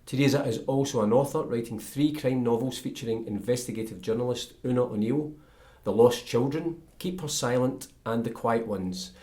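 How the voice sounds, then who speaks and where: A man's voice: medium pitch, moderate pace, mellow. A man, speaking as a podcast host interviewing a guest. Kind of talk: scripted introduction, then conversational interview.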